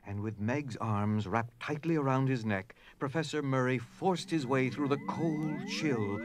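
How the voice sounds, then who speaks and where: A man's voice: medium pitch, a little slow, no echo. A man narrating an audio drama in a dramatic, scripted storytelling style. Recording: noticeable background noise.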